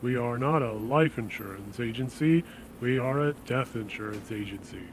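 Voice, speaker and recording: Man acting as male insurance salesman, mid-pitched, measured, persuasive, solicitous, radio play, audible background noise. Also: natural voice. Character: smooth-talking, reassuring male death insurance salesman. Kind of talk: audio drama dialogue.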